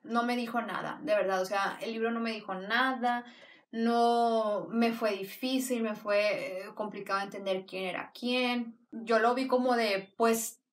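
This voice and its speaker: A woman talks through her favorite books in a chatty, enthusiastic way, circling back and restarting her sentences as she goes. Her voice sits at an average pitch and sounds slightly distant from the mic. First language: Spanish. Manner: enthusiastic, rambling with frequent restarts. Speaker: book vlogger. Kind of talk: casual book review talk.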